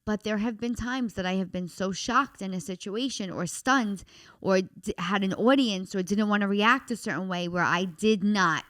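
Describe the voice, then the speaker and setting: A woman co-hosting a casual talk show, with a loud voice, outspoken and reflective. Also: medium pitch, normal speed. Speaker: podcast co-host. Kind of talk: casual chat.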